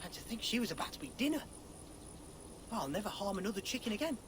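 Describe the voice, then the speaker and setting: A high, jumpy Viking villager from a video game, whose pitch swings widely as he stammers through earnest, emotional words. Faint crickets and outdoor ambience sit quietly behind him. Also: sing-song, quick pace, slight background noise. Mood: remorseful. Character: excitable soft-hearted male Viking villager.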